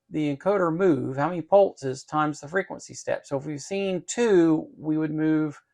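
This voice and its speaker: A man's voice: on the high side, vocal fry. A man giving an informal technical code walkthrough, methodical and repeating phrases for emphasis. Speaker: electronics channel host and programmer.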